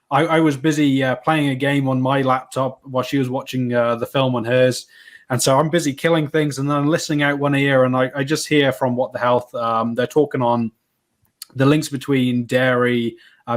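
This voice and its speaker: A man from Wales, a podcast guest, telling stories in an easygoing, self-deprecating way and laughing now and then. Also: mid-range voice. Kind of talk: conversational interview answers.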